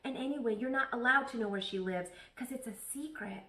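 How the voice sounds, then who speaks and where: A woman's voice: on the high side, fairly quick, bright, forceful tone, far from the mic, pitched above the performer's own voice. A woman voicing a bossy, know-it-all kindergarten girl in a children's storybook, snippy and emphatic. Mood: snippy, insistent. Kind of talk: children's storybook read-aloud. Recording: echoey room.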